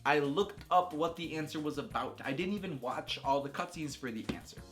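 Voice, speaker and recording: A man's voice: fairly high pitch, a little fast, fairly bright tone, soft background music. A man giving casual, rambling video game commentary in an enthusiastic, informal, slangy way, often repeating words and circling back. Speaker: video game reviewer and host.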